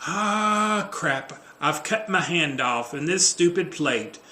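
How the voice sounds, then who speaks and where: A man's voice: fairly high pitch, expressive, slow, sharp tone, slight background noise, voice pushed up, heavily acted. Man reading a hapless, placating husband, echoey room. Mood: pained. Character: Charlie, hapless apologetic eager-to-please husband.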